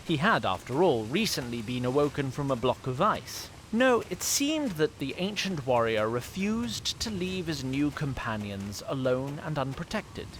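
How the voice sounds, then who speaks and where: Male storyteller, mid-pitched and very lively, dramatic fantasy narration over noisy ambience. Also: measured pace, hardly any pauses.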